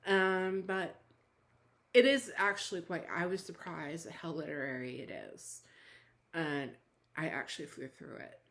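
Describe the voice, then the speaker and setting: A woman with a hoarse, somewhat low voice talks through a book review in a loose, chatty way. She wanders, restarts her sentences and fills the gaps with little fillers as she goes. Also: a little slow, fairly bright tone. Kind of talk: casual book review chat.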